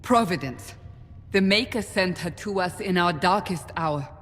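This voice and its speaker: An actress playing a stern, devout and commanding warrior woman in a video game, speaking in forceful, solemn declarations. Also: fairly low pitch, a little slow, in a large hall, a little hiss or hum. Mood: grave, insistent. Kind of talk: dramatic video game cutscene acting.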